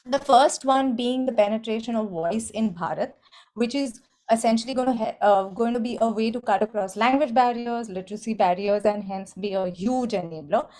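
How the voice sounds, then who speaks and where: A woman speaking as an industry panelist in a webinar, articulate, with bursts of quicker delivery. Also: on the high side, a little mellow.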